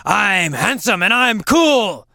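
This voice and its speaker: A man voicing a confident young man who keeps loudly telling himself he is handsome and cool, in a spoken storybook. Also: high-pitched, very animated, slow pace, creaky, no room sound, clean studio sound, pitched above the performer's own voice, exaggerated voice. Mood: boastful. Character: confident young man chanting self-praise.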